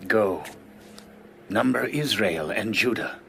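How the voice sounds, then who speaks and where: A forceful, low-voiced ancient king. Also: expressive, slow, gravelly, a little hiss or hum, heavily acted. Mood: commanding. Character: commanding ancient king giving orders. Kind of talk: dramatized audio Bible acting.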